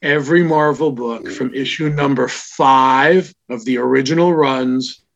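A man's voice: medium pitch, steady tone, vocal fry. An older man born in Israel, a comic store owner, telling stories from his past in a wry, reflective, casual interview. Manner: reflective, wry, unhurried storytelling. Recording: dull lo-fi remote audio.

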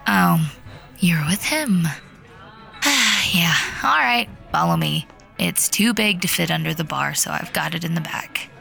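A woman's voice: on the low side, lively intonation, unhurried, creaky, over music, heavily acted. A woman playing a breezy, wry pub bartender who deals on the side, in a modern audio drama. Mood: resigned. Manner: casual, wry.

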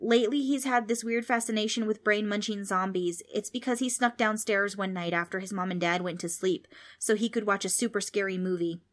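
A woman's voice: medium pitch, fairly even intonation, fairly quick, few pauses, close to an everyday voice. A woman voicing a polite, talkative, apologetic young boy in a children's storybook. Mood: apologetic, explaining.

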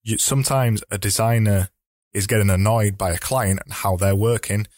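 A man hosting a solo advice podcast, talking in a chatty, explanatory way, slightly hoarse as he loses his voice. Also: fairly low pitch, steady tone, moderate pace, a little mellow, no room sound, studio quality. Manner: chatty, explanatory, with frequent restarts. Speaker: podcast host.

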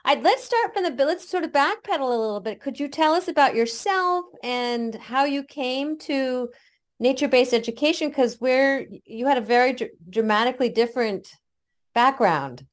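A woman's voice: high voice, expressive, normal speed. A woman hosting an outdoor education show, introducing a guest and interviewing her in a gushing, effusive way that repeats words. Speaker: podcast host and interviewer. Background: music under the intro.

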